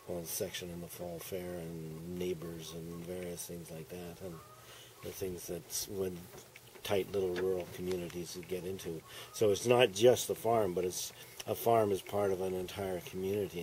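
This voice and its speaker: A man's voice: low-pitched, background noise. A man in his sixties, an author, chatting on an outdoor farm patio and rambling with a trailing "right?". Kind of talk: relaxed interview chat.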